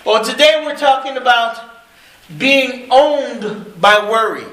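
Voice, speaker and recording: A man's voice: extremely high pitch, slow pace, a bit bright. A man preaching in short phrases for an interpreter, speaking in an informal, joking way. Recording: echoey room, faint noise.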